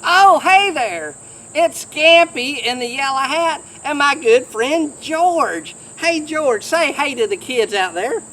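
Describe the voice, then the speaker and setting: A man's voice: very high-pitched, lively intonation, takes it slowly, pitched above the performer's own voice, heavily acted, poor audio. A man playing a cheerful, folksy, friendly camper in a children's storybook role-play, chatty and exclamatory. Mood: cheerful greeting. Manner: chirpy, exclamatory, gently chatty. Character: cheerful folksy friendly man in the yellow hat, camping companion.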